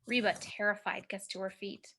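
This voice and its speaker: A woman's voice: mid-range voice, steady tone, normal speed, bright, forceful tone, slight background noise. A woman reading screenplay action as narrator, with dramatic, clipped phrasing, recorded over a home video call.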